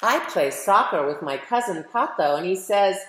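A middle-aged American woman, a children's author, reads a picture book aloud and speaks directly to young listeners with emphatic, animated delivery. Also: fairly low pitch, normal speed, slight background noise. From United States. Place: medium living room at home, indoors. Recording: roomy, echoey home recording.

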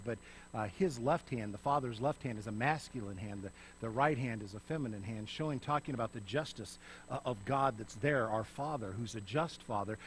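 A middle-aged man preaching on a church stage, swinging between loud emphasis and soft, hushed passages. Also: mid-range voice, a little hiss or hum, low-quality recording. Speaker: pastor. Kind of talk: sermon. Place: church stage, in front of a lit backdrop.

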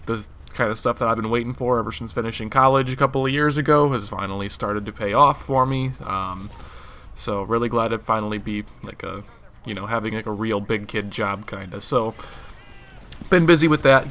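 Hoarse young man, bright voice. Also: medium pitch. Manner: rambling, relaxed, many fillers. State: hoarse from allergies. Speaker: gaming channel host. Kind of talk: casual channel update talk.

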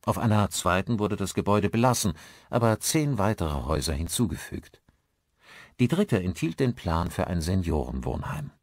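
Deep-voiced male audiobook narrator. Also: sing-song, no room sound, clean studio sound. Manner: measured, articulate storytelling. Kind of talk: scripted audiobook narration of a thriller.

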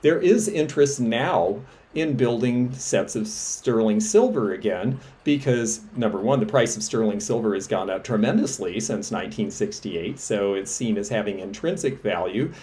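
A man's voice: lowish voice, expressive, moderate pace, dark tone. A middle-aged man, an antiques dealer, chatting enthusiastically as he shows vintage pieces for sale to a camera indoors. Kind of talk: show-and-tell selling vlog. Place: indoors against a textured wall.

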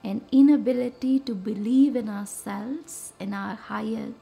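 A woman leads a guided meditation in short, soothing, measured phrases with reverent pauses. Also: a little high, vocal fry, mellow. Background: music.

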